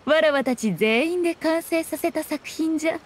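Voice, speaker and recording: Casting: elegant, self-assured fox spirit for an anime game, high feminine voice, teasing old-fashioned phrasing woman performer. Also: normal speed, close to an everyday voice. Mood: smug. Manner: archaic, haughty, teasing speech.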